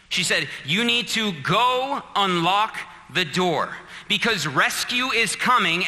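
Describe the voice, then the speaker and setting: Bright-voiced preacher man in a hall. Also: high-pitched. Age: 30s. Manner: storytelling, emphatic, rising to fervent appeals. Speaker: pastor. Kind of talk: sermon.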